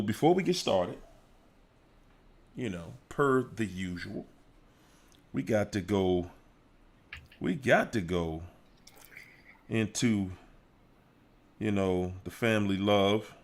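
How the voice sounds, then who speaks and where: A man hosting a relaxed livestream. He talks slowly and lets his lines hang. He hypes up the chat in a playful way, with bursts of whoops and sung bits. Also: fairly low pitch. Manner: playful, hypes up chat, sings snippets and laughs. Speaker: livestream host. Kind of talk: casual livestream chat greeting viewers.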